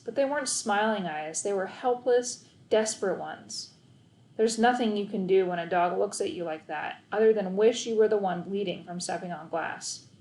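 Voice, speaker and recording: A woman's voice: mid-range voice, darkish tone. Woman reading a story aloud, roomy echo. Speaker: read-aloud narrator. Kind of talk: expressive children's audiobook narration, first person.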